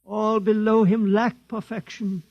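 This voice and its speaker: A man's voice: high voice, little pitch movement, measured pace, dry recording, highs cut off. An actor playing a pompous, dogmatic old holy man who is easily frightened, in 1950s radio drama style. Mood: solemn, pompous. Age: older. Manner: pompous, stammering when challenged.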